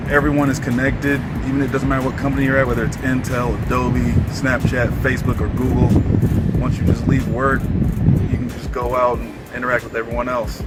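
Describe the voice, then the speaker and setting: A man in his twenties, a student intern, giving a short testimonial to camera. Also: mid-range voice. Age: 20s.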